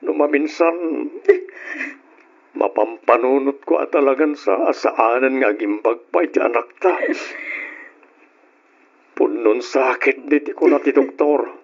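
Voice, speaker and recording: A man playing a worried, gently consoling husband and father in an old-time Ilocano radio drama, earnest and heavy-hearted. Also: medium pitch, measured pace, pauses often, breathy edge. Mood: despairing. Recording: muffled old radio recording.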